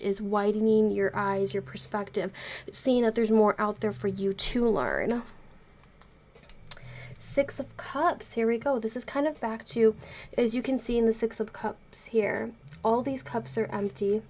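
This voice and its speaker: A woman's voice: mid-range voice, vocal fry, a little mellow. Congested woman reading tarot cards. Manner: chatty, rambling, many fillers. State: congested, recovering from illness. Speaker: tarot reader. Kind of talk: casual tarot reading to camera.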